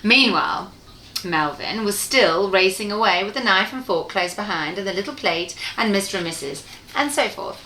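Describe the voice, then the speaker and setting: A woman reading a children's bedtime story aloud in an expressive, playful way, speaking directly to her listener. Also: mid-range voice, normal speed, slight background noise. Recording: roomy, echoey room sound.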